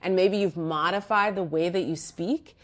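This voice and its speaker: Reflective woman guest, low-pitched and easygoing. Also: moderate pace. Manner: reflective, speaks in loose restarts with many "like" and "you know". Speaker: guest, organizational psychologist. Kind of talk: casual interview conversation.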